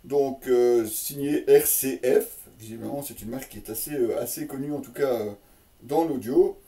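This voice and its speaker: A man hosting a casual, unscripted product presentation, chatty and tongue-in-cheek, with a halting delivery that repeats words. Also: medium pitch, dark tone, far from the mic. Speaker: host of a motorcycle gear unboxing channel.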